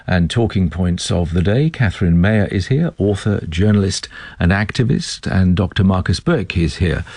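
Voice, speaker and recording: Deep-voiced British male radio presenter, husky. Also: lively intonation, normal speed, a little mellow, no echo. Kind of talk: live current affairs radio interview. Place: radio studio.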